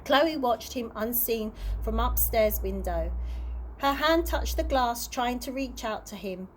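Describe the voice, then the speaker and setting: A woman reading a children's storybook aloud in an expressive storytelling manner, with small stumbles. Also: fairly high pitch, a little slow, a little hiss or hum, rough recording. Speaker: early years practitioner reading stories.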